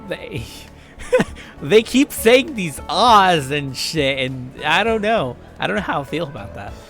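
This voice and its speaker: Lively young American man, game streamer. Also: fairly high pitch, slow, faint music behind. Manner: irreverent, swearing. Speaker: let's play host. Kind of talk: reading game narration with casual commentary.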